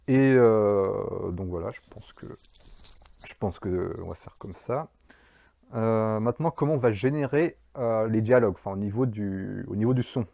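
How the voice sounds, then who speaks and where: Chatty man with a low mellow voice. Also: normal speed, gravelly, dry recording. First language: French. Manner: chatty, many fillers and restarts. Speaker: indie game developer. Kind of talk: casual devlog narration.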